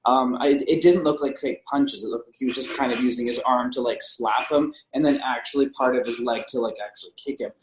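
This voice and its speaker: In a noticeably echoey room sound, a man with a medium-pitched voice co-hosts a film podcast. He talks casually and conversationally. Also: fairly quick, few pauses. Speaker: podcast co-host.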